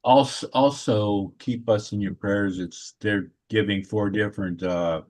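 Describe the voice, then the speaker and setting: A man with a deep, mellow voice talks as a podcast panelist. His delivery is casual and conversational, with a dark, relaxed tone. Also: unhurried.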